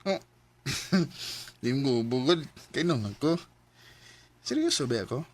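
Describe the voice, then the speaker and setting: A man's voice: mid-range voice, animated, slow. A boisterous drunken young man. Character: jolly hard-drinking young man courting a neighbor. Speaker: drama narrator and lead. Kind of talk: radio drama acting.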